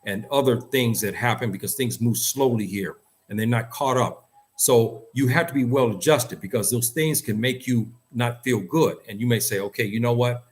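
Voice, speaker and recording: A man's voice: medium pitch, moderate pace. A man with an American accent giving opinionated, unscripted talk in an emphatic, insistent manner, repeating phrases for stress. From United States. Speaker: host and commentator.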